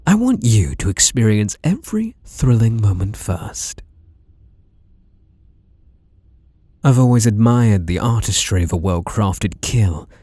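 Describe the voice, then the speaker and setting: A man's voice: low-pitched, a little mellow, slightly rough, no room sound, heavily acted. A British man playing a sadistic, obsessive masked killer in an ASMR roleplay, teasing and menacing with mock tenderness and whispered words. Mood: dark relish.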